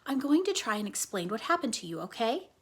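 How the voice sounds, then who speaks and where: A woman's voice: a little high, big pitch swings, a little fast, a bit bright, husky, exaggerated voice. A woman voicing an earnest, cynical young girl who loves comics, explaining things emphatically in a storybook reading. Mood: excited, coaxing. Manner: emphatic, instructive.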